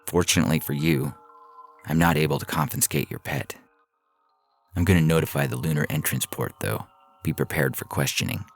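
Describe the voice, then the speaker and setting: A man's voice: extremely low pitch, animated, brisk, vocal fry, soft background music, exaggerated voice. A man voicing a weary older male officer near retirement, firm and official but courteous and lenient, in an audio science fiction story. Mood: stern lecturing.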